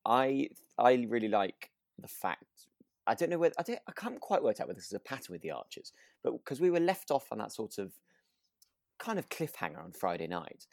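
A man's voice: medium pitch, big pitch swings, a little fast, vocal fry, a little mellow, no room sound, clean studio sound. A British man co-hosting a casual discussion of a radio drama, hesitant and often rephrasing himself as he goes. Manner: hesitant, circling phrasing with self-interruptions. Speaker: podcast co-host.

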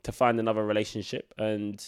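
A man's voice: on the low side, monotone, fast, clean tone. Twenty-something guy with a blocked nose from a cold, rambling through his solo podcast, says like a lot. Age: 20s. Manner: casual, rambling, many filler likes. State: congested from a cold. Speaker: podcast host.

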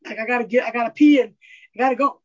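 A woman guest in a casual interview, raspy and candid, rambling openly about herself. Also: fairly high pitch, steady tone, racing, darkish tone. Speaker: podcast guest. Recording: dull lo-fi audio.

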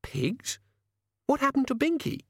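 Brusque young woman, read by a male narrator, clipped, higher, dry. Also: very animated, unhurried, gravelly, fairly bright tone, no echo, exaggerated voice. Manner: clipped and cold, lifted pitch. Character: cold, flustered young woman.

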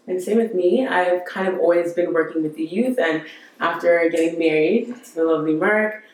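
A young woman chatting as a podcast guest about her church youth and worship work, quick to laugh. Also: lowish voice. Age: young adult. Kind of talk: casual podcast chat. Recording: very echoey room, far from mic.

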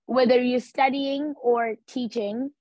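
A woman from Africa in a casual conversation, speaking in a slow, thoughtful, laid-back way. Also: on the high side, fairly even intonation, a little mellow, no echo. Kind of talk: casual chat. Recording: dull dry lo-fi sound.

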